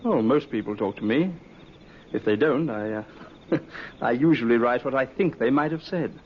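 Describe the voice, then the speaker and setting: An actor playing a cocky, breezy newspaper reporter who probes people in an old-time radio drama. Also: male voice, medium pitch, moderate pace, lightly acted. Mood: cocky, probing. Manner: breezy, probing. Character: cocky, smooth-talking male London newspaper reporter. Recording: muffled, noisy old radio recording.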